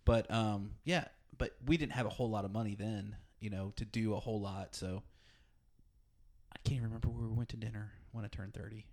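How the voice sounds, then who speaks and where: A man's voice: fairly low pitch, brisk. Laid-back man in his forties. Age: 40s. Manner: relaxed, dry joking. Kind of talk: casual podcast chat.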